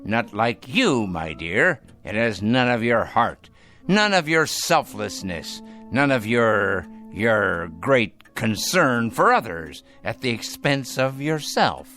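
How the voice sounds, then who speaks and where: A man's voice: mid-range voice, very animated, bright, forceful tone, faint music behind, exaggerated voice. A man acting in a radio drama as a bitter, domineering, dying rich old grandfather who talks in a theatrical, mocking way. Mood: mocking, sardonic. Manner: theatrical, mocking, drawn-out delivery.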